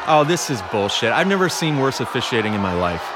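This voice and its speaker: A man voicing a hot-headed young male tennis player in a comedic read-through, shouting and indignant. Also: medium pitch, very animated, quick pace, crowd noise, background noise, voice pushed up, lightly acted.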